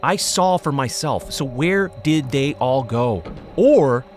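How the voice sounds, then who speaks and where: An actor playing an earnest, troubled paranormal investigator who narrates a scripted podcast in a low-key, conversational way. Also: male voice, animated, slow, a little mellow, soft background music. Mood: haunted, guilty. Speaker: host and narrator.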